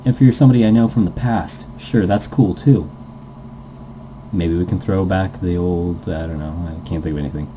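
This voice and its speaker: A man's voice: deep voice, moderate pace, dark tone, slight background noise, low-quality recording. A man doing a casual gaming playthrough, reading game text aloud and rambling off on tangents. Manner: rambling, easily sidetracked. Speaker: let's player reading a visual novel aloud.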